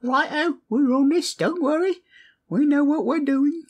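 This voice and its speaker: A man's voice: extremely high pitch, measured pace. A man voicing a small, eager goblin henchman with a chipper, cocky manner in a fantasy roleplay. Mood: eager, cocksure. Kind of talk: improvised fantasy audio roleplay.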